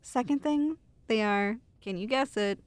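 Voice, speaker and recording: A woman's voice: fairly high pitch, measured pace, dry recording, close to an everyday voice. A young woman playing an affectionate, chatty girlfriend who reassures her partner in an intimate ASMR roleplay. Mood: playful, teasing. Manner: playful, reassuring, storytelling to one listener. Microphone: close studio mic.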